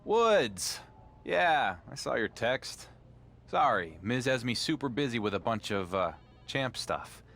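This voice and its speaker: Game casting: champion wrestler with an ordinary mid-range voice, sarcastic, put-upon and defensive. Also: male voice, mid-range voice, sing-song, slow, fairly bright tone, heavily acted. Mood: evasive. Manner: dry, sardonic retorts, defensive under accusation.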